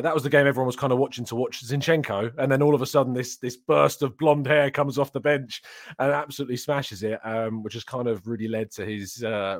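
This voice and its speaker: A man, hosting a chatty interview show, upbeat and quick with his questions and fillers. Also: medium pitch, dry recording, studio quality. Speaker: show host. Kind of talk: casual interview chat.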